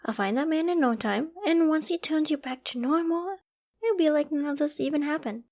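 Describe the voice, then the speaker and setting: A woman's voice: high-pitched, a little fast, a little mellow. A woman playing an obsessive, lovesick young survivor in an intimate roleplay drama, sweetly controlling, affectionate and possessive. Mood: giddy, scheming. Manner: affectionate, giddy, possessive, with breathy sighs and giggles.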